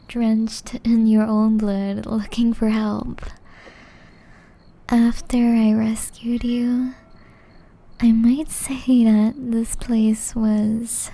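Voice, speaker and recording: A gentle, ageless witch voiced by a woman speaks in a fairly high but soft and mellow voice. She takes her time, often slipping into a breathy whisper. Her manner is caring and tenderly teasing, made for close, intimate ASMR roleplay. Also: exaggerated voice. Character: gentle, centuries-old witch, caring, softly chiding healer.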